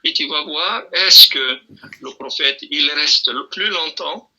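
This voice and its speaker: A man explaining a religious question in a relaxed, hesitant way, with many restarts and fillers, sometimes quoting scripture. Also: a little high, slow pace, muffled recording, slight background noise. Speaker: imam answering a question.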